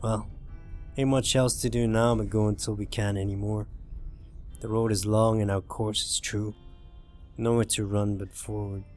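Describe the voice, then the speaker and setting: A man voicing a weary, frightened driver in a zombie visual novel, reading first-person lines in a subdued, halting, brooding way. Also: on the low side, flat delivery, a little mellow, close to an everyday voice. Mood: resigned, weary. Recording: music behind the voice.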